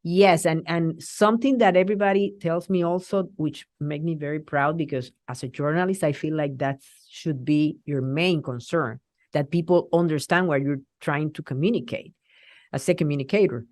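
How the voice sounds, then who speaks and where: A woman from Rico speaks English, in a low, dry voice. She is a middle-aged TV journalist, lively and halting as she restarts her sentences in a casual interview. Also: dry recording. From Puerto Rico. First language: Spanish. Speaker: TV journalist guest.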